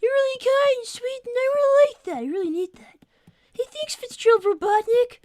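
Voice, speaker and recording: A woman's voice: extremely high pitch, brisk, a little mellow, no room sound. A woman playing a smug, precocious young cartoon fox boy co-hosting an in-character livestream with matter-of-fact, needling chat. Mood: grateful, earnest. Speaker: livestream co-host.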